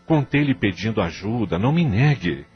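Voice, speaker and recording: A man's voice with wide swings of pitch, over music in a dull recording. An actor plays a guilt-ridden Brazilian husband in a radio melodrama, formal, evasive and pleading. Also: very animated, moderate pace. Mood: evasive, defensive. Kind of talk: melodramatic audio drama acting.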